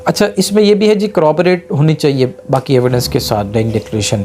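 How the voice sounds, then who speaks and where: A man with a Pakistani accent gives an explanatory law lecture as an advocate, reading English passages slowly and haltingly, then explaining them. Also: a little high, very animated, warm tone. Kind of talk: explanatory legal lesson mixing in English legal passages read aloud. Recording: noticeable background noise and hum.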